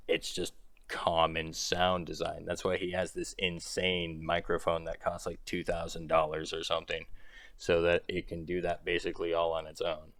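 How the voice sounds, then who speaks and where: A young man with a low, strained voice, rambling through an exasperated commentary rant and laughing between points. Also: a bit bright, no room sound. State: strained throat. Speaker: commentary creator. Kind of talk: ranting commentary.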